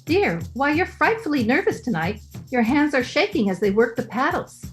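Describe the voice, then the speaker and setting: Woman acting an earnest wife, high animated voice. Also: moderate pace, soft background music. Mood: earnest, solemn. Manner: earnest, theatrical. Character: earnest, superstitious young wife in peril. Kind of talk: 1930s radio melodrama acting.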